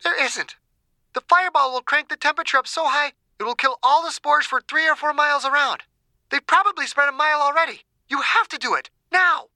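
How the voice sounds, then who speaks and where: A woman playing an urgent, desperate scientist in an audiobook drama, blunt and forceful in her delivery. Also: high voice, brisk, no echo, heavily acted, poor audio. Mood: desperate, resolute.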